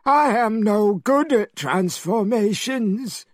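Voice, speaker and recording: A man's voice: high voice, expressive, slow pace, no room sound, studio quality, pitched above the performer's own voice, exaggerated voice. A man voicing a foolish, cowardly pig creature who whines and complains, in a storybook reading. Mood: whiny. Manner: whiny, complaining.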